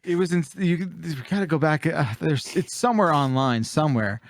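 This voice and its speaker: A man's voice: on the high side, expressive, fairly quick, a little hiss or hum. A man hosting a podcast, chatting casually and comedically with the easy looseness of someone telling anecdotes. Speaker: podcast host.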